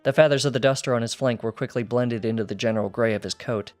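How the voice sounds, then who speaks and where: A man's voice: medium pitch, fast talker, darkish tone, no room sound, soft background music. A man reading fan fiction as scripted storybook narration. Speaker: audiobook reader.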